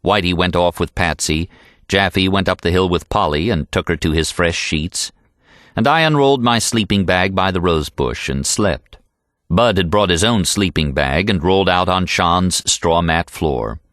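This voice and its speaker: A man's voice: low voice, moderate pace, fairly bright tone, dry recording, studio quality. An American man reading a literary novel aloud as an audiobook narrator.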